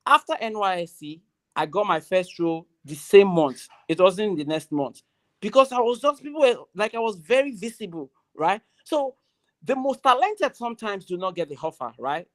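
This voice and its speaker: A young adult with a Nigerian accent giving a motivational speech at a graduation ceremony, emphatic and loud, repeating key phrases. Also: medium pitch.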